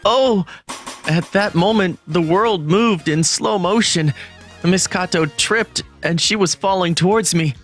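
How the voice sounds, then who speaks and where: A man's voice: high voice, measured pace, fairly bright tone. A man voicing a gloomy, introverted, easily panicked teenage schoolboy who narrates a manga story in a self-deprecating, dramatic way. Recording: music bed under the voice. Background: music.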